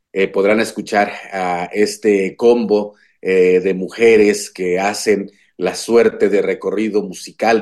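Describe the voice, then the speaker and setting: Male radio host, low voice, announcer plugging an event. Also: a little mellow.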